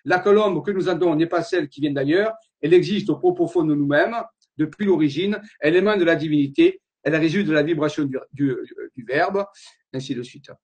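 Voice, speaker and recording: A man's voice: fairly high pitch, a little fast, darkish tone. A man giving an earnest slide-show lecture, wandering from point to point and often repeating his words as he searches for them.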